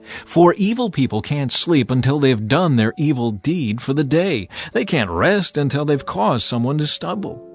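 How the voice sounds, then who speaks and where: A man's voice: on the high side, sing-song, measured pace. A man giving a dramatized reading of scripture as a narrator, theatrical and emphatic, in the manner of someone urging a listener. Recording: music bed under the voice. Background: music.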